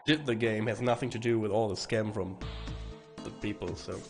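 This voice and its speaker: A man with a hoarse, rough voice from bronchitis, chatting casually and rambling to stream viewers while playing a game. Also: on the low side, moderate pace. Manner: rambling, chatty, swearing, with frequent restarts. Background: faint game music.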